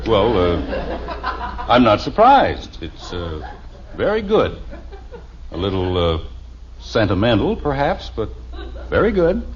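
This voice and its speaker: An actor playing a grumbling but soft-hearted father in an old-time radio comedy, with a dry, hesitant delivery. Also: on the low side, huge pitch swings, slow, long pauses, exaggerated voice. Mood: grudging. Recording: muffled, noisy old radio recording.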